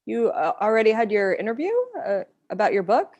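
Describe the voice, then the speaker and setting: A woman's voice: medium pitch, fairly quick, darkish tone, lo-fi sound, close to an everyday voice. A woman playing a frustrated but concerned girlfriend in a live table read, speaking naturally, by turns hesitant and pointed. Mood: casual, puzzled.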